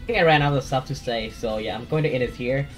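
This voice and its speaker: A man with a Thai accent, hosting his gaming channel in a casual voice-over chat, soft-spoken and a little hesitant. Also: mid-range voice, fast, soft background music.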